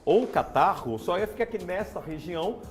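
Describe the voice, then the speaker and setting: A hoarse man with a Brazilian Portuguese accent, hosting a TV health interview in a chatty, playful way in a studio. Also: sits high, expressive, fairly quick, faint music behind. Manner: chatty, asks questions with playful disbelief. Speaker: TV show host.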